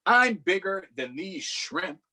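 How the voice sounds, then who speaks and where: A man's voice: sits high, big pitch swings, takes it slowly, bright, forceful tone, a little hiss or hum, pitched above the performer's own voice, full character voice. A man voicing a boastful giant squid, emphatic and proud of its size, reading a children's picture book aloud. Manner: bragging, emphatic.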